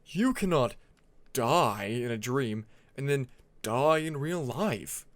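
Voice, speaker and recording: A man's voice: mid-range voice, expressive, slow. A man voicing a bookish, skeptical male dragon in a fantasy audiobook, matter-of-fact and insistent. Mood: incredulous.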